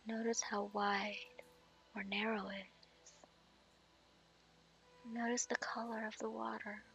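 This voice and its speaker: Slow, soft-spoken woman meditation guide. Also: medium pitch, flat delivery, dull audio, slight background noise. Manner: soft, slow, whispery delivery.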